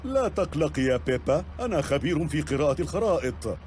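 Cartoon dad pig: confident bumbler, lively intonation, higher than average, explanatory. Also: male voice, fast talker, a little hiss or hum. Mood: self-assured. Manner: self-assured, explanatory. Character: confident, bumbling, good-natured father pig. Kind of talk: Arabic-dubbed preschool cartoon.

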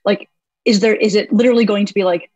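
A woman's voice: mid-range voice, steady tone, fast, a little mellow, no room sound, highs cut off. A woman hosting a podcast, asking questions in a chatty, self-deprecating way full of "like.". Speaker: podcast host. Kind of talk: casual interview chat.

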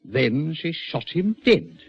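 An agitated prison governor, husky voice. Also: male voice, on the high side, very animated, takes it slowly, no room sound, heavily acted. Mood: grave, recounting. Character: troubled middle-aged British prison governor, defensive and uneasy. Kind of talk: 1940s radio acting. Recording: dull old 1940s radio recording.

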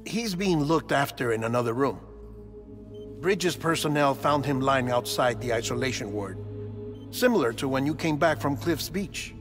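A middle-aged man playing an earnest doctor and team official in a video game, gravelly and explanatory. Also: mid-range voice, lively intonation, moderate pace, close to an everyday voice. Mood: grave, reassuring. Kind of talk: cinematic video game acting. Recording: long echoing reverb, faint music.

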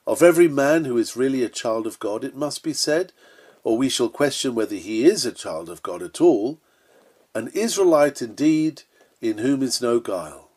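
A man's voice: mid-range voice, lively intonation, moderate pace, darkish tone, husky. A man reading an old-fashioned sermon aloud in an earnest, solemn and emphatic preaching manner. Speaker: sermon reader.

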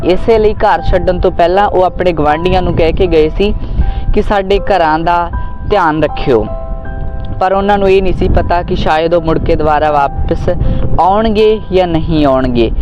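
Higher-pitched man, muffled over music. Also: darkish tone. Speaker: host reciting and discussing poetry. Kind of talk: spoken commentary with poetry recitation. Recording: muffled lo-fi audio with background music.

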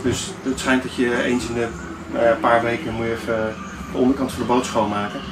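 A man in his fifties talks informally through a practical demonstration, often pausing to find his words. His voice is mid-pitched and recorded from a distance. The small space sounds roomy, with clear noise behind him. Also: little pitch movement. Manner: hesitant, searching for words. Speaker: sailing vlogger. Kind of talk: informal demonstration talk to camera. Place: inside a sailboat cabin at the navigation desk. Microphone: camera a few steps away.

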